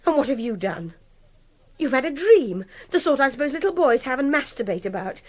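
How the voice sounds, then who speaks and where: A high-pitched young English woman in a radio drama, scornful and imperious. She is articulate and emphatic, a captive art student with a volatile, mocking edge. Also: sing-song, brisk, a little mellow. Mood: angry, exasperated. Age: young adult. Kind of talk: BBC radio drama acting.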